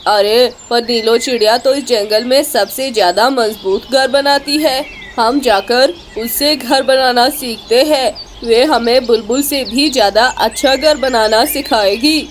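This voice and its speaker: Woman as an emphatic high-voiced cartoon jungle creature. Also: a little slow, hardly any pauses, slight background noise, close to an everyday voice. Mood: earnest. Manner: exclamatory, emphatic. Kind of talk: Hindi cartoon story dialogue.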